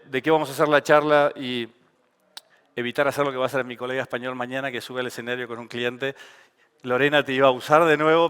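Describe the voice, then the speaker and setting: A man's voice: mid-range voice, fairly bright tone, clean tone. A man with an Argentine accent giving a casual, joking conference talk on stage. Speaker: conference speaker. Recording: reverberant event hall.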